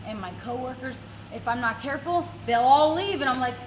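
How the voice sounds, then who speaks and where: A woman casually telling a story in an animated way, acting out dialogue, swearing and laughing as she talks. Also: high voice, brisk. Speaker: guest telling a personal story. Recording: noisy car, engine and road noise.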